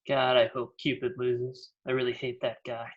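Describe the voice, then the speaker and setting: A woman's voice: a bass voice, level tone, slow, background noise. A woman playing a petty, scheming official with a dry, conspiratorial manner in a comedy read. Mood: scheming, spiteful. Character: scheming, petty official rooting against a rival. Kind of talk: comedy script table read. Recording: dull lo-fi sound.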